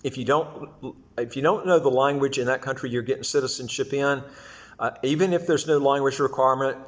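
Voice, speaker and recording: Male explainer-video host, mid-pitched voice, informal and halting delivery with repeated words and stumbles. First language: English. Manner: halting, repetitive, stumbles over phrases. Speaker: host of a second-passport advice channel. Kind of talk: informal explanatory talk to viewers.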